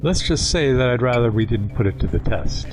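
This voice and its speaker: A man's voice: mid-range voice, very animated, a little fast, darkish tone, slight background noise. An actor playing a sly, talkative male alien time traveller in an audio drama, with a wry, mocking, chatty manner. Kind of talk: full-cast audio drama acting.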